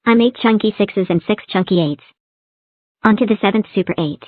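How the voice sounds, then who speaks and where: A woman's voice: medium pitch, brisk, no room sound, lightly acted. A woman voicing a bubbly little talking number block in a fan-made cartoon, chirpy and sing-song. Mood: cheery. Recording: tinny phone-like dry recording.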